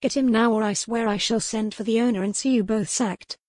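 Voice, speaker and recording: A woman's voice: a little high, steady tone, fairly quick, darkish tone, no echo, studio quality, voice pushed up, close to an everyday voice. A woman voicing an imperious, headstrong young noblewoman in an audiobook, formal and insistent. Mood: angry, demanding. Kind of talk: audiobook character dialogue.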